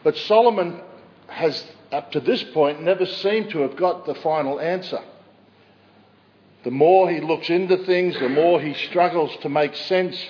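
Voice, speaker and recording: A man preaches in a measured way, his fairly high voice ringing out with a long reverb tail. The recording sounds dull and muffled, as if captured in a large church sanctuary. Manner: measured preaching with occasional fillers and self-corrections; says he has a loud voice. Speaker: pastor. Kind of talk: expository sermon.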